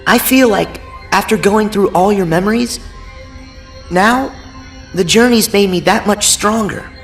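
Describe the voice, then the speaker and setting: A man's voice: sits high, measured pace, a bit bright. An actor playing a cheerful, earnest teenage boy hero in video game cutscenes, talking in an upbeat, eager way. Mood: grateful. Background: faint game music.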